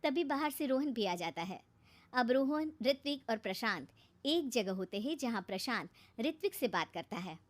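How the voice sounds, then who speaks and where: High-voiced woman narrating a cartoon story. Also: lively intonation, moderate pace.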